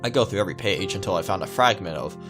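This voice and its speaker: Male let's-player, low voice, casual halting read of visual novel text, guitar music behind. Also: animated. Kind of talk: casual read-aloud narration with asides.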